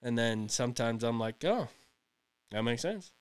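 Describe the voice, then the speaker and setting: A man's voice: mid-range voice, lively intonation, moderate pace, clean tone. A man in his late thirties co-hosting a casual podcast, rambling and laughing often as he chats. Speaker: podcast co-host. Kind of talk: casual chat.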